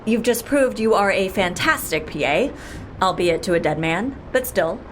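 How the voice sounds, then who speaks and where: A woman playing a brisk, persuasive and wry boss of a shady outfit in an audio drama. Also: mid-range voice, lively intonation, slight background noise, natural voice. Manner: persuasive, wry, matter-of-fact. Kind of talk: audio fiction drama acting.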